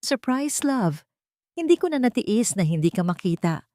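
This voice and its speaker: A woman voicing a young male architect who speaks calmly and steadily while hiding his anger. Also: a little high, normal speed, no echo, studio quality, pitched above the performer's own voice.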